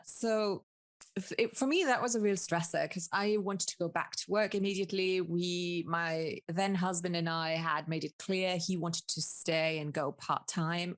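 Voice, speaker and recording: A woman in her thirties from Luxembourg, a native Luxembourgish speaker with a British-tinged English accent, chatting candidly as a podcast guest. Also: lowish voice. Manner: candid, laughs easily, hedges with fillers. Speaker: guest, business coach. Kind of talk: casual interview chat.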